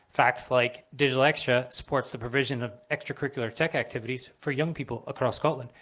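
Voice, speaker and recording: A middle-aged man from Canada, with a Canadian accent, speaks at an industry event in a candid, conversational way. He admits he is nervous and walks around as he talks. His voice sits at a middle pitch and sounds dry and close, with little room echo. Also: a bit bright. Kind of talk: personal storytelling talk. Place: event venue, in front of a live audience.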